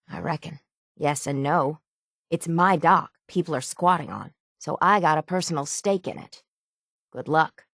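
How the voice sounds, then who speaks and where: Low, gravelly, weary island sailor. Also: female voice, no echo, clean studio sound. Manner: folksy, salty, plainspoken rural phrasing. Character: gruff, world-weary island sailor woman, stoic and sardonic. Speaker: video game voice actor. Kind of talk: video game character dialogue.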